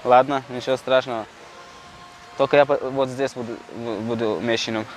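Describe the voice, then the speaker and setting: A young man from Tajikistan with a Tajik accent, a street vlogger chatting with passers-by in halting Russian full of fillers and restarts. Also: mid-range voice, fairly even intonation, slight background noise, low-quality recording. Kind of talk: casual street chat and singing. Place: outdoors in a city park.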